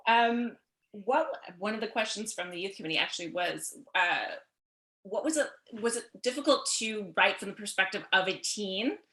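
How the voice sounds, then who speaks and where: A woman hosts a casual book interview. Her voice is bright and clearly projected, at an average pitch. She speaks informally, often pausing and restarting as she shapes her thoughts. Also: lively intonation.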